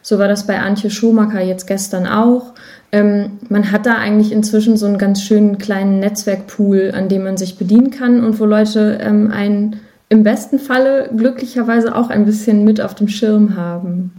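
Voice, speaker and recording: Casting: female podcast guest, warm mellow timbre, medium pitch, thoughtful conversational explainer. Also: fairly even intonation, moderate pace. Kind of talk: casual conversational interview answers.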